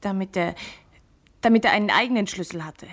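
An actress playing a meek young newlywed housemaid, hesitant, evasive and defensive, in a German radio crime play. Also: female voice, medium pitch, a little fast, close to an everyday voice. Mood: nervous, evasive.

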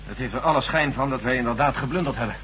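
Male army general played by an actor, radio play. Blunt, doubting, quick delivery, formal diction, slight hum on the recording. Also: mid-range voice, fairly bright tone, natural voice, low-quality recording. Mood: sceptical. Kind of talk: Dutch radio drama acting. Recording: faint hum and noise, old radio recording.

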